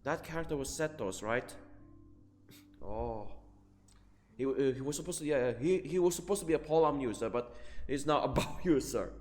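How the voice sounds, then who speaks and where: A man giving casual, halting reaction commentary on a game, often repeating himself. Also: fairly high pitch, lively intonation, darkish tone. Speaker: streamer. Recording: reverberant room, game music behind.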